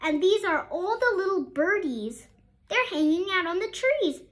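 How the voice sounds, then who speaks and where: A woman's voice: extremely high pitch, very animated, measured pace, a bit bright. A woman reading a children's picture book aloud expressively, with questioning asides to young listeners. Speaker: storybook reader.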